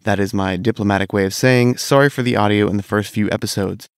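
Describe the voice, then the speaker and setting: A man recovering from a cold, narrating a scripted game commentary in a measured, analytical way. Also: on the low side, steady tone, clean tone, no echo, clean studio sound. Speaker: gaming video host.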